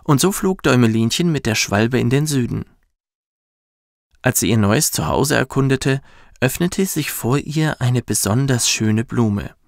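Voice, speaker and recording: A man narrating a children's bedtime story in a soft, soothing, measured way. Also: medium pitch, no room sound, clean studio sound. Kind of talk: gentle scripted storytelling for children.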